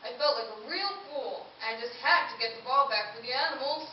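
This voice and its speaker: A woman's voice: high voice, fairly quick, natural voice. A woman voicing a quirky, eager pet dog who chattily tells his own story in a children's puppet show. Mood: excited storytelling. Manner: chatty, confiding, addresses the listener. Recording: roomy, distant, dull audio with hiss.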